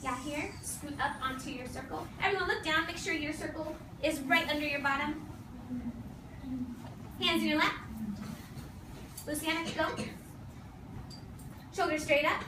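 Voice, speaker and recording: A high-pitched woman speaks as an elementary teacher, heard from across a noisy room. She gives firm, short instructions and repeats key phrases on purpose as she leads a read-aloud for small children. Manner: firm, directive, with short commands; deliberate repetition of key phrases. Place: classroom full of seated children. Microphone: distant camera across the room. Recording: echoey, noisy classroom recorded from far off.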